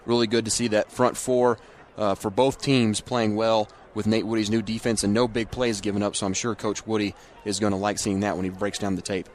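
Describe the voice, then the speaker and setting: A man's voice: fairly low pitch, little pitch movement, a little fast, a little mellow. A man giving football color commentary and analysis, recorded with ambient noise behind him. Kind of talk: live sports commentary, analysis.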